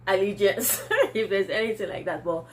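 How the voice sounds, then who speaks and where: A woman's voice: mid-range voice, normal speed, a little hiss or hum. A woman with a Nigerian accent, whose first language is Yoruba, chatting casually in a vlog and laughing often as she answers viewer comments. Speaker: vlogger.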